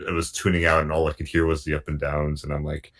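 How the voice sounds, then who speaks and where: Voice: extremely low pitch, completely flat delivery, quick pace. A panelist giving frank but hesitant critique in a casual group chat.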